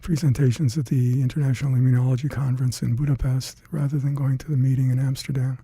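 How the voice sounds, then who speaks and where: Older male interviewee whose first language is Hungarian: gravelly, husky, reflective. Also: mid-range voice, little pitch movement, warm tone, no room sound. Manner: halting, reflective, many fillers and restarts. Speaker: interviewee, retired scientist. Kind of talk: oral history interview.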